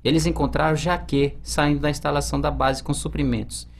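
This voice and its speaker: A man's voice: fairly high pitch, flat delivery, brisk, vocal fry, slight background noise. A young man with a Brazilian accent reading scripted narration for an amateur radio drama. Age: young adult. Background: music.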